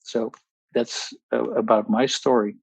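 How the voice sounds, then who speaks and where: A Dutch man in his sixties, speaking English as a second language, tells his own story as a guest on a video call. He talks with frequent pauses and restarts and uses technical wording. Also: mid-range voice, little pitch movement, creaky, slightly rough, dry recording, poor audio. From Netherlands. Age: 60s. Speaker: guest, pharmacologist. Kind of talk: personal testimony on a group call.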